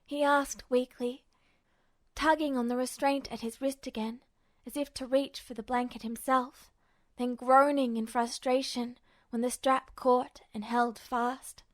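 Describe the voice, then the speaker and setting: A woman reading a story aloud as an amateur fiction narrator, in an expressive scripted style. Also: high voice, unhurried, dry recording.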